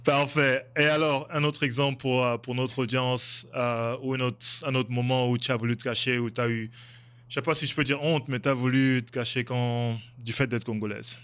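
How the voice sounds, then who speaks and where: A man's voice: medium pitch, level tone, moderate pace, fairly bright tone, clean tone. A man hosting a casual interview podcast, asking loose, hesitant questions with frequent restarts. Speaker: podcast host.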